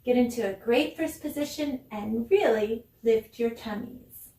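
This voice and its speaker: A woman's voice: a little high, measured pace, warm tone. A woman giving ballet class instruction as a dance teacher, demonstrating and counting in an encouraging, instructive way. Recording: roomy echo, distant mic, music behind.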